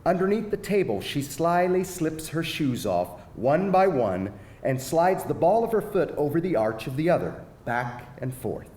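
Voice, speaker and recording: A man's voice: on the high side, very animated, measured pace, darkish tone. A man narrating stage directions in a live screenplay reading. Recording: echoey hall, faint background noise.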